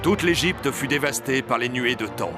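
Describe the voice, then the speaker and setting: A man's voice: mid-range voice, lively intonation, normal speed. A man reading scripted narration of a biblical story as narrator. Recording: music under the voice.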